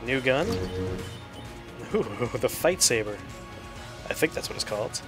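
A man's voice: mid-range voice, expressive, measured pace. A man hosting a casual let's-play, talking in a playful, self-deprecating way. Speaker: show host, gamer. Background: music and game gunfire.

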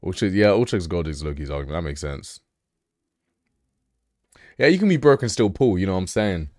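A man reacting live to a video, with loud, mocking and profane banter. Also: low-pitched, sing-song, brisk, no echo, studio quality. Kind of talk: casual live-stream commentary.

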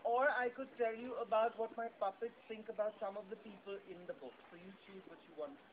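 A man's voice: sits high, steady tone, a little fast. A man with an Indian accent playfully addressing children as a puppeteer, often asking them questions. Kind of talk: interactive children's puppet show. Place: public book festival event with children. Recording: tinny lo-fi phone video, noisy crowd.

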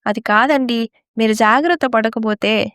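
A woman playing an arrogant, scheming young daughter-in-law in a moral story drama, sharp-tongued and complaining. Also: on the high side, a little slow, clean tone, dry recording, studio quality. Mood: coaxing, scheming.

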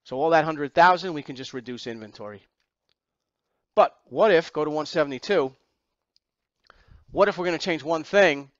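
A man's voice: on the high side, moderate pace, long pauses, no echo, highs cut off. A man teaching an accounting exam class, lecturing through slides step by step with emphatic repetition and rhetorical questions.

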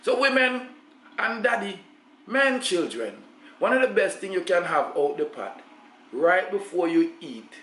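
A middle-aged Jamaican man chats away in a home kitchen, slipping into patois as he cooks. His voice sits a little high for a man, and the room rings back off the cabinets with a faint hum underneath. He sounds recorded by a camera resting on the counter. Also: very animated, a little slow, a bit bright, roomy sound. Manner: chatty, preachy patois asides. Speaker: cooking channel host. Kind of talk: casual cooking vlog.

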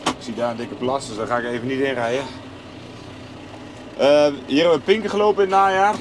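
Young farmer guy vlogging, casual explainer, mid pitch, windy outdoor audio w machinery noise. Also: a little fast. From Netherlands. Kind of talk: casual vlog narration to camera. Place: outdoors on a farm and in a tractor cab. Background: wind and vehicle noise.

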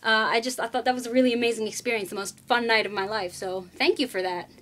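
A young woman talks into her webcam at home, her voice sitting fairly high. She sends an earnest, rambling fan message, full of fillers and restarts. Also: fairly even intonation, fast talker, fairly bright tone. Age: young adult. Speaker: fan sending birthday wishes. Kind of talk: casual fan video message.

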